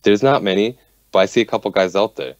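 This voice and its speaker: A man who makes reggae rhythms, talking passionately and fast in a casual radio discussion. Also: lowish voice, dry recording, dull audio. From United States. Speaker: reggae producer and musician.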